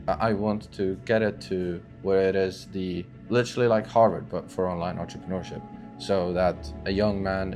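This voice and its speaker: Earnest young man over soft guitar. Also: lowish voice. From Syria, raised in Sweden. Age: 20s. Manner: earnest and loose, many fillers and restarts. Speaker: online entrepreneur. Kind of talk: talking-head motivational vlog.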